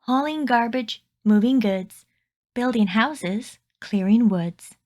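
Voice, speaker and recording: Female children's narrator, higher register, very unhurried, expressive and carefully phrased read-aloud of rhyming verse. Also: muffled recording.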